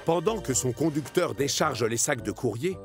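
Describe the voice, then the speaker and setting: A man narrating a scripted children's cartoon in an expressive storytelling way. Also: mid-range voice, moderate pace, over loud music.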